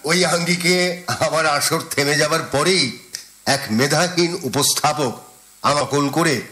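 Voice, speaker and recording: Bright, forceful Bangladeshi man radio host. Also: on the high side, slow pace. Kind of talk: presenting a sponsored radio letters show.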